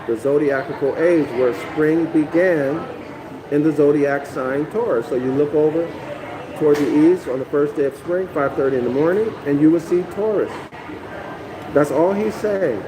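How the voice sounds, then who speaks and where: A man's voice: on the high side, moderate pace, background noise. A man from North America reading a text aloud and lecturing on it for a live online audience, often stopping to check in with listeners and repeating phrases as he explains. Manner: keeps checking in with listeners, "okay?" and "y'all".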